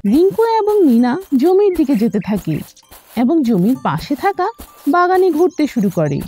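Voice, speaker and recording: A woman's voice: high voice, very animated, measured pace, warm tone, soft background music. A woman narrating a scripted children's story.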